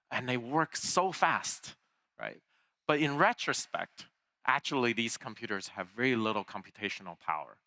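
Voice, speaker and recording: A man's voice: medium pitch, bright, forceful tone. A man from Taiwan giving a conference keynote in a conversational way, with fillers and restarts. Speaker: keynote speaker. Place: large conference hall.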